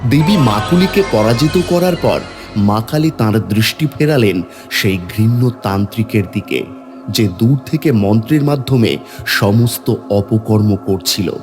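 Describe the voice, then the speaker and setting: Male story narrator: fairly deep pitch, dramatic scripted read over faint music. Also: animated, measured pace, darkish tone.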